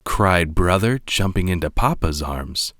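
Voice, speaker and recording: Deep-voiced man reading a children's story. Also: expressive, unhurried, fairly bright tone, no room sound, clean studio sound. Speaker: storybook reader. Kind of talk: read-aloud children's story narration.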